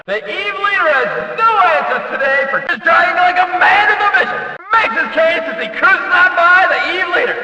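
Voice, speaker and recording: Very high-pitched, excitable race announcer. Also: male voice, exaggerated voice. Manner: emphatic, dramatic, shouting calls. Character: excitable male race announcer calling a demolition derby. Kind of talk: hyped race commentary. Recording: echoey hall, distant from the mic.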